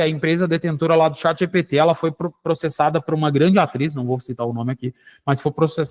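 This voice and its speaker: A man hosting a show, demonstrating software live and explaining it to listeners, often checking in with "tá?" and "né?". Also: a little high. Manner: explanatory, addresses listeners directly with frequent "tá?" and "né?". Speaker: radio show host.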